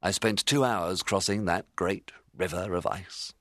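A man playing a horrified, remorseful scientist telling his tale in a dramatised audiobook, with intense, expressive delivery. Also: low-pitched, unhurried, no room sound, clean studio sound. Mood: reflective, tense. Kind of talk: dramatised literary audiobook narration.

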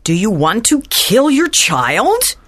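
An actress playing an indignant, meddling middle-aged aunt in a dramatized audiobook, theatrical and accusing. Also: female voice, medium pitch, wildly swooping pitch, slow, a bit bright, full character voice. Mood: indignant, dramatic.

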